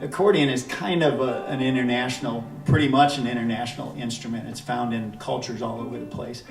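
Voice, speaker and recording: A relaxed man introduces a tune in casual stage chat, rambling and restarting as he goes. He sounds set back from the microphone, his voice ringing around a living-room space. Faint music sits under him. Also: mid-range voice, fairly quick. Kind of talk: casual stage banter at a concert. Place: living room at a house concert. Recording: roomy, distant, faint music.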